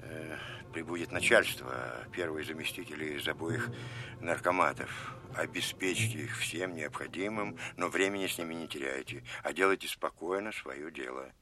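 Deep, stern Soviet general. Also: male voice, moderate pace, bright, forceful tone, slight background noise. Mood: stern, instructing. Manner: authoritative, clipped orders, emphatic repetition. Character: stern commanding Soviet colonel general, pressured, urgent. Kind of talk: Russian audio drama acting.

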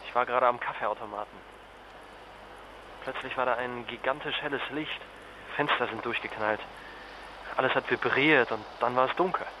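A man's voice: medium pitch, moderate pace, pauses often, background noise, natural voice. An actor playing a stressed, exhausted male journalist in a naturalistic radio drama, talking in an urgent, clipped, colloquial way. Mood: weary, reporting.

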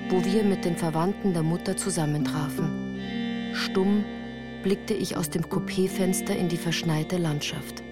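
A woman's voice: lowish voice, a little slow. A woman reading scripted first-person narration for a radio play. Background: music.

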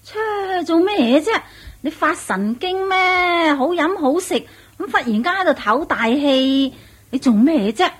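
A doting, fussy woman in a radio play. Her voice is high-pitched. She cajoles and nags, tender one moment and easily exasperated the next. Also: animated, unhurried. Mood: nagging, exasperated. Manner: cajoling, fussing, nagging. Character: coaxing, doting, easily exasperated female companion. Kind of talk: Cantonese radio drama acting.

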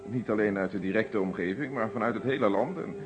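An actor playing a composed, methodical police inspector in a Dutch detective radio play. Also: male voice, fairly low pitch, quick pace, music in the background, close to an everyday voice. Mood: relaxed, explaining. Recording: muffled old radio recording.

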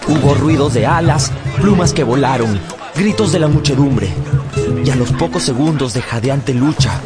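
An actor narrating a radio drama as a man solemnly recounting a boyhood memory in measured, literary phrasing. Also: mid-range voice, close to an everyday voice. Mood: tense, dramatic. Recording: loud music bed behind studio voice.

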